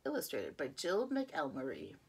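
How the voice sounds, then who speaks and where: A woman preschool teacher reading a picture book aloud to young children, with a playful sing-song delivery, gasps and slow counting. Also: low voice, huge pitch swings, darkish tone, slightly rough.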